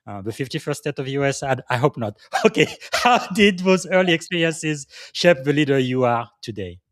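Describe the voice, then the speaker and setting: A man's voice: fairly high pitch, very animated, a little fast, dry recording, clean studio sound. A man from Congo hosting a live podcast interview, upbeat and emphatic, with frequent fillers. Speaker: podcast host.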